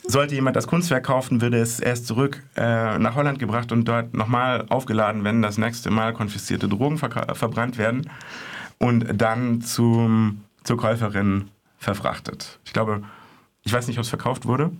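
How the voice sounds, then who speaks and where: A man's voice: mid-range voice, fairly even intonation, moderate pace. A man reporting as an art correspondent in casual radio chat, speaking hesitantly with many fillers and restarts, in a radio studio.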